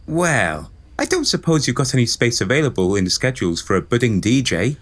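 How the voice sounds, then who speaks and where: A man's voice: mid-range voice, big pitch swings, brisk. A man playing a cheery, eager young would-be radio DJ in an audio pantomime. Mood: hopeful.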